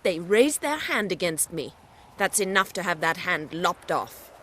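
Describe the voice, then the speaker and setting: Low-voiced, haughty imperial woman. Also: very animated, a bit bright, slight background noise. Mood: cold, vengeful. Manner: formal, haughty, measured. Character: proud, stern, vengeful imperial woman.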